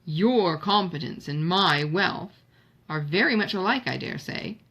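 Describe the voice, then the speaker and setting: A woman's voice: fairly low pitch, expressive, unhurried, fairly bright tone, husky, dull audio, exaggerated voice. A woman voicing a sensible, composed young elder sister in a novel, reasoning in a formal, reproving way. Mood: wry, reasoned.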